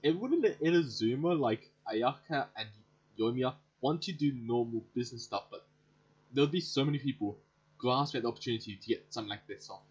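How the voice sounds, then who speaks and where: Muffled, husky young man commenting on gameplay. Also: mid-range voice, brisk. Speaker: gaming video creator. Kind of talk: casual let's-play commentary. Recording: muffled lo-fi voice track. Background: faint game music.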